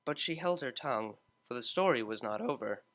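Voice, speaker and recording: A man narrating a fan-written story in a steady, measured reading. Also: mid-range voice. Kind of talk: fanfiction audiobook narration.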